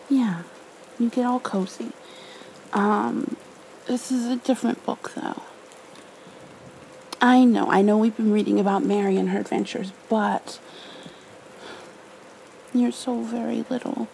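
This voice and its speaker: A woman with a soft, mellow voice and a gravelly fry, speaking slowly and tenderly in an ASMR bedtime style. Faint rain sits behind her hushed words. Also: on the high side, low-quality recording. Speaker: ASMR roleplayer, storybook reader. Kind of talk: ASMR roleplay, soothing bedtime reading. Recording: faint rain-like background noise.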